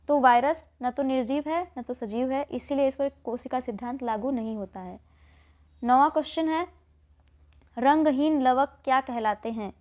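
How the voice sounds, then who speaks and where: A woman's voice: high voice, darkish tone. A woman with an Indian accent teaching an exam lesson, explaining questions and answers and often rephrasing key points.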